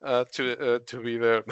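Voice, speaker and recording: A man's voice: medium pitch, clean tone, dry recording, muffled recording. A middle-aged man from Argentina, a podcast guest who tells stories in casual chat and laughs often. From Argentina, Patagonia. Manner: laughs often while telling stories, many fillers.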